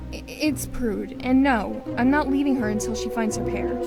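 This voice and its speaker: A woman as high-voiced, loyal young schoolgirl friend. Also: normal speed, vocal fry. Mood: firm. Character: loyal, earnest, reassuring young female student, best friend. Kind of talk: visual novel character acting. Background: music.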